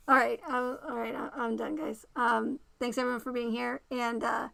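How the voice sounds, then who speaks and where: A woman with a fairly high, bright voice hosts a relaxed livestream and talks to her viewers by name. Her voice is gravelly and going raspy, and she circles back to the same points. The sound is dry and close, with no room echo. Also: normal speed. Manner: talks straight to the chat, repeats herself. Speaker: livestream host. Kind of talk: casual livestream chat with viewers.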